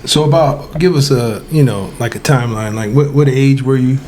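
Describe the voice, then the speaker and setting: A man's voice: medium pitch, moderate pace, darkish tone, slight background noise. An American man in his forties chatting casually as a podcast co-host. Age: 40s. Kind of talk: casual chat.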